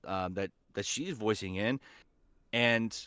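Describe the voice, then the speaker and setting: Low, clear-voiced man chatting casually. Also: takes it slowly, bright, forceful tone, no echo. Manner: enthusiastic, informal. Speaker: guest, voice actor. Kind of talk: casual podcast chat.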